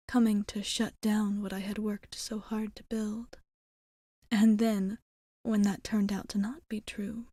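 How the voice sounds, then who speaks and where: A woman performs an old-souled female vampire in an ASMR roleplay, lonely and tender. Her breathy whisper is mellow and unhurried, with measured, formal wording. The sound is intimate and dry, with no room echo. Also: a little high. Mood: reflective, fond. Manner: slow, measured, formal phrasing.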